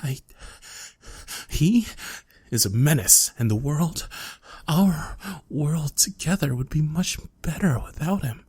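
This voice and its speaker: A close, dry recording of a man acting out a lovesick, obsessive male student in an ASMR audio drama. He speaks slowly in a soft, husky voice, stammering shyly and coaxing, with menace underneath. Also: on the high side, animated, no echo, full character voice. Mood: desperate.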